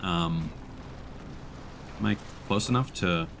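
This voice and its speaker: Man, lower voice, game streamer, casual thinking-aloud commentary, faint game ambience. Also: slow, vocal fry. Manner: thinks aloud while playing. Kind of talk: casual live gameplay commentary. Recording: faint game noise behind voice.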